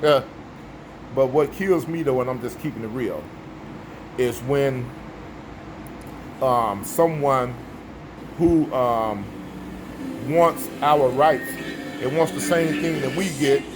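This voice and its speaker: A man with a baritone voice giving an emphatic, preachy opinion monologue, repeating his points for effect. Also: background noise. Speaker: vlogger.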